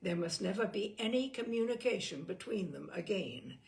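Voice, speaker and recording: Expressive woman reading a novel, creaky voice. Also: medium pitch, normal speed. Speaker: audiobook reader. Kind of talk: scripted narration of a novel.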